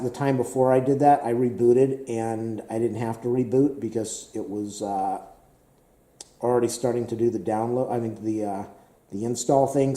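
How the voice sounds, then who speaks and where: A man talks casually and rambles, repeating himself and filling pauses with "uh." His voice is very dark and mellow and a little hoarse. He sounds like he's in an echoey room. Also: mid-range voice. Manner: rambling, repetitive, many fillers. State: slightly hoarse. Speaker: tech vlogger.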